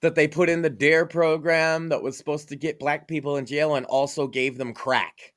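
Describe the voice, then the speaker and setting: Brash, profane man, higher voice. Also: steady tone, normal speed, fairly bright tone. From Massachusetts. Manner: profane, emphatic, opinionated. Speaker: podcast co-host. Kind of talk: casual podcast chat.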